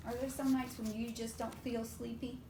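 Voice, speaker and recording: A woman reading a picture book aloud to young children in an expressive, animated way, often putting questions to her listeners. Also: normal speed. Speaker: preschool storytime reader. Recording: roomy echo with faint low rumble.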